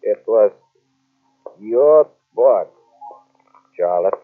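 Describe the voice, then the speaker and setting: A haunted husband in an old radio drama. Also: male voice, on the low side, animated, soft background music, full character voice. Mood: eerie. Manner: slow, halting, ominous. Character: troubled husband, dreamy and haunted. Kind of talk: 1940s radio acting. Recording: tinny old radio transcription.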